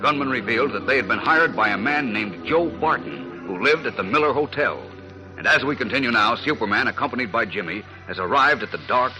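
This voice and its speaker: A man's voice: mid-range voice, rough recording. A man narrating a 1940s radio serial in a dramatic, portentous announcer's manner.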